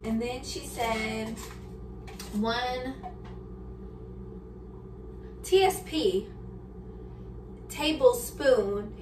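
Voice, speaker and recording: A woman's voice: fairly high pitch, drawn-out words, long pauses. A woman in her early twenties chatting casually and candidly to viewers as she cooks, with informal, slangy speech. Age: early 20s. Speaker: cooking vlogger. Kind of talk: casual chat to viewers while cooking. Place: home kitchen, indoors. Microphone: camera on counter, a few feet away. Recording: roomy, distant kitchen recording with background noise.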